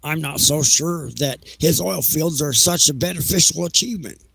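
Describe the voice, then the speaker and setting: A man playing a peevish, defensive railroad executive in an audio drama, with whiny, halting, indignant delivery. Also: on the high side, vocal fry, a bit bright, slightly rough, no room sound, voice pushed up, exaggerated voice.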